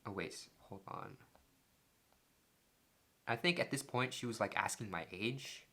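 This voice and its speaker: A man's voice: on the low side, a little slow, bright, forceful tone. A young man telling a story in a casual, joking way and reading text messages aloud, with lots of "like" fillers. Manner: loose and jokey, many "like" fillers. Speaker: vlogger.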